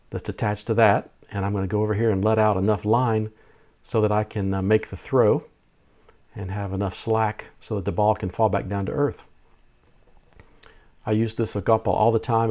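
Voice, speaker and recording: A man with a somewhat low voice narrates a casual hobby vlog. He explains things methodically in plain, everyday language, as a host talking to viewers. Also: little pitch movement, moderate pace, darkish tone. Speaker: amateur radio video host.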